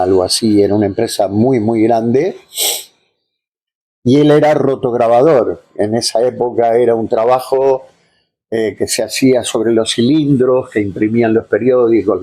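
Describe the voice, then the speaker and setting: An Argentine-accented man telling personal stories casually as an interview guest, pausing to find words and adding little check-in tags. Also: lowish voice, dark tone.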